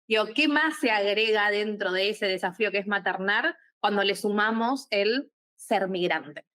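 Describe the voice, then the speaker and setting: A woman hosts a podcast conversation. She asks her questions in an engaged, curious way and affirms her guest's answers. Her pitch sits in an ordinary middle range. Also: moderate pace, fairly bright tone. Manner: engaged, affirming, laughs easily. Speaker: podcast host. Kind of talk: interview questions.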